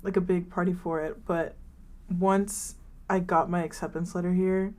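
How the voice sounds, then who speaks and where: A woman's voice: on the low side, normal speed. A woman in her twenties co-hosting a podcast, chatting casually and rambling through stories with lots of "like" and "um". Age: 20s. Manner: rambling, many likes and ums, animated retellings. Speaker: podcast co-host. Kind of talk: casual chat.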